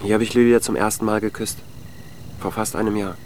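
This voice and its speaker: Earnest, unsure man in love for radio drama, played by a male actor: mid-range, brisk phrases, ambient noise around him. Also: fairly low pitch, flat delivery, darkish tone, close to an everyday voice. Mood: brooding. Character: brooding, uncertain man in love, earnest and apologetic. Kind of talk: naturalistic German radio drama acting.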